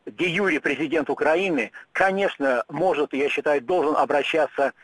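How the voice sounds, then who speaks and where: A man answering questions in a radio interview, speaking in firm, emphatic statements broken by frequent "uh" pauses. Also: on the high side, fairly bright tone, husky, no echo, poor audio.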